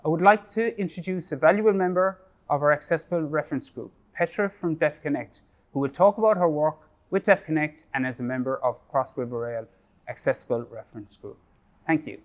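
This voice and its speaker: A man with a slightly raised pitch gives a scripted project update. He reads prepared remarks in a steady, measured way. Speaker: project staff presenter. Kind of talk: scripted presentation.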